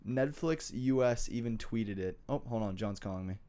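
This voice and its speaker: Young male podcast host, congested, slangy and rambling, low-pitched. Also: moderate pace, mellow. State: stuffy nose. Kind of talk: casual chat.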